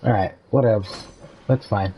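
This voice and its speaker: A man's voice: fairly low pitch, moderate pace, slight background noise. A young man giving casual, slangy live commentary as he streams a video game. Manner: slangy, informal. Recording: slightly dull stream audio. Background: game music.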